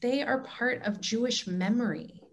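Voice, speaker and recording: A woman acting as an assured, wise mentor with a fairly high voice. She teaches with deliberate emphasis in a staged dialogue read over a video call. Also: expressive. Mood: earnest, teaching.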